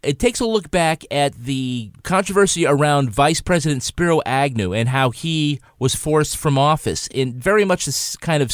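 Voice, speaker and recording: A man's voice: fairly high pitch, no room sound, studio quality. A man giving casual reviews as a panelist on a podcast.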